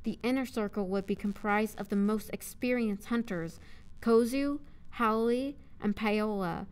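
A woman's voice: on the high side, a little slow, a little mellow, clean tone. Woman narrator recording takes. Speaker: voice actor, narrator. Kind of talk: scripted audio drama narration with casual studio chat between takes.

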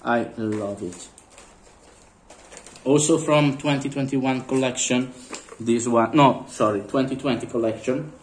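A hoarse man with a cold and a sore throat, showing collectible figurines in a casual vlog, speaking English as a second language with many fillers and throat clearing. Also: mid-range voice, unhurried, pauses often, reverberant, a little hiss or hum. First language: Italian. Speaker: vlogger. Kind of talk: casual unboxing commentary. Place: indoors at a table.

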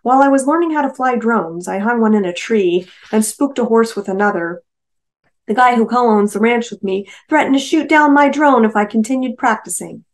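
A woman's voice: fairly high pitch, normal speed, natural voice. A woman voicing an easygoing, chatty male rancher and veteran in a novel reading, self-deprecating and joking.

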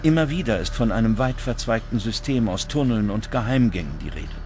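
A man reading scripted documentary narration in a measured, dramatic way, recorded as a studio voice-over. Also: on the low side, animated, a little mellow, faint music behind.

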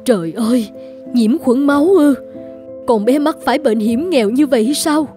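A woman's voice: high voice, animated, normal speed, warm tone, faint music behind. A woman voicing a kindly, compassionate orphanage carer in a cartoon, with a sympathetic, worried manner. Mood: alarmed. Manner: sympathetic, caring. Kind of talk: animated children's story dubbing.

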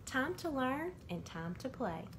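A middle-aged woman reading a rhyming children's picture book aloud in a measured, sing-song way, as bedtime stories from a bedroom. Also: sits high, big pitch swings. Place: small indoor bedroom. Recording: clearly noisy amateur home recording.

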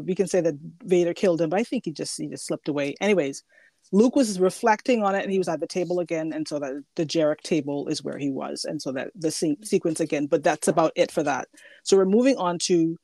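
A podcast host talking casually about films in a halting, self-correcting way. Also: medium pitch, a little mellow, dry recording. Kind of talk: casual movie discussion.

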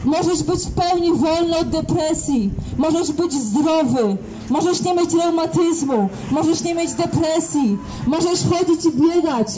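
A woman's voice: very high-pitched, unhurried, few pauses, warm tone, a little hiss or hum, low-quality recording. A young woman preaching on the street at an open-air market, speaking into a handheld microphone with fervent, repetitive declarations. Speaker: street evangelist. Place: outdoor open-air market by a busy road. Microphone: handheld microphone, far camera.

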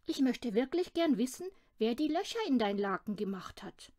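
A woman voicing a gentle but firm mother in a children's storybook, patient and questioning. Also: on the high side, expressive, normal speed, no echo, clean studio sound, lightly acted.

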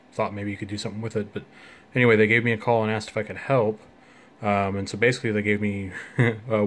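Man, flat lowish voice, halting casual tech demo, indoor desk, light background noise. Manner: hesitant, halting delivery. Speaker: IT technician and hobbyist demonstrating a project. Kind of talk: casual unscripted project walkthrough.

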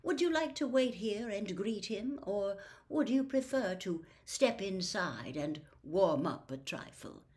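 A woman's voice: mid-range voice, animated, unhurried, hardly any pauses, a little mellow, lightly acted. A woman reading the part of a courteous, composed old wizard headmaster in a formal, gracious manner.

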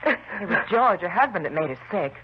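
Actress as a worried, expressive woman friend. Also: mid-range voice, moderate pace, natural voice. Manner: consoling, earnest. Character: worried, soothing woman friend of a troubled housewife. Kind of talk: 1950s radio drama acting. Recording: muffled, noisy 1950s radio recording.

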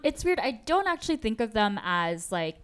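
A woman's voice: on the high side, big pitch swings, normal speed, fairly bright tone, clear, resonant tone. A woman in her thirties from the Philippines, a native Tagalog speaker, answers conversationally as a guest journalist on stage, thinking aloud hesitantly into a handheld mic. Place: auditorium stage. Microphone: handheld microphone held at chest.

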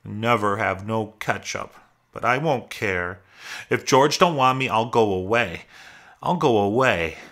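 A man's voice: medium pitch, expressive, a little slow, bright, forceful tone, pitched above the performer's own voice. A man voicing a simple-minded, childlike big man in a storybook, anxious and pleading, with rustic, ungrammatical talk. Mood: sad, pleading.

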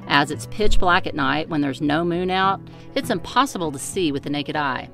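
A woman giving calm, measured scripted voice-over narration for a family sailing vlog. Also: low-pitched, faint music behind. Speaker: vlogger narrating a sailing trip.

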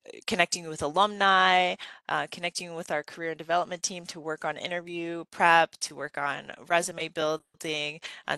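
A woman's voice: lowish voice, pushed and bright, no room sound. A woman presenting a graduate business program in a webinar, talking through slides in a conversational way with many fillers.

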